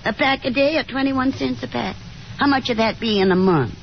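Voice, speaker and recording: A woman's voice: on the high side, brisk, vocal fry. An actress playing a fussy, talkative, hopeful middle-aged mother in a 1950s radio drama, with a dramatic, insistent manner. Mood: wistful chatter. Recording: dull, noisy 1950s radio broadcast.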